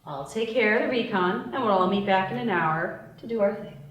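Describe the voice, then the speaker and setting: A woman's voice: mid-range voice, fairly quick, highs cut off, lightly acted. A woman reading the part of a brash, bossy young criminal in a screenplay table read, blunt and teasing. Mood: confident lecturing. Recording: echoey room, distant mic, background noise.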